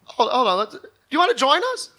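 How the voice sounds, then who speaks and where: Bright, animated male comedy show host. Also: very high voice, fast. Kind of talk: live comedy show banter and dramatic reading of comments.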